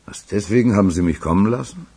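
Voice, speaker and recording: An actor playing a placating, faintly sardonic henchman in a radio crime drama, in a very husky, gravelly voice. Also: fairly low pitch, lively intonation, quick pace, darkish tone. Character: smooth-talking, faintly sardonic male henchman, placating his boss.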